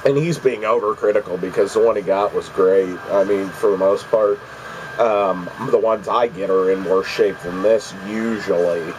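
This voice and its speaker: Lowish voiced guy, stuffy nose, rambly game collecting vlog, hum in the background. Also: fairly even intonation, moderate pace. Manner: rambling, many ums and restarts. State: congested from allergies. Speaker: video game collecting vlogger. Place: at home.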